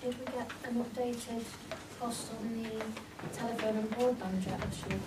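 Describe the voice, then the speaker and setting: A woman's voice: on the high side, steady tone, moderate pace. A woman councillor speaking at a meeting, earnest and matter-of-fact. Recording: echoey hall, far mic, clear hiss.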